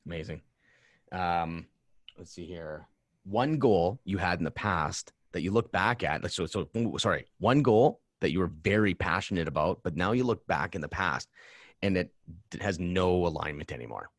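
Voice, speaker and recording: A man's voice: deep voice, lively intonation, moderate pace, dry recording, studio quality. A man hosting a casual interview in an enthusiastic, affirming way. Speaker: podcast host.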